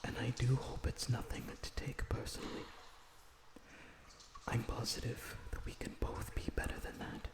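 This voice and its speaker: A man playing a gentle, earnest vampire prisoner in an ASMR roleplay, soothing and pleading in a breathy, slightly formal way. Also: medium pitch, completely flat delivery, normal speed, lightly acted. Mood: tender, soothing. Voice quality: breathy, often dropping to a whisper. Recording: roomy echo on the voice.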